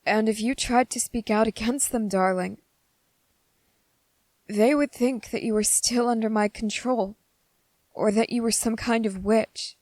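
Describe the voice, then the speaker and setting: A woman acts out a gentle, brooding male vampire who is possessively in love, in an ASMR roleplay. The delivery is unhurried and halting, coaxing the listener tenderly. The sound is intimate and very dry, with no room around the voice. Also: on the high side, steady tone, dry recording, close to an everyday voice. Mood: gentle, sorrowful. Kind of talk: ASMR character roleplay, intimate scripted acting.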